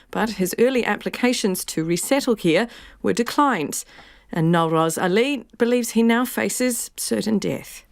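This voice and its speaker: A woman reading the evening news bulletin in a measured, formal way. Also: medium pitch, dry recording, studio quality. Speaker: newsreader.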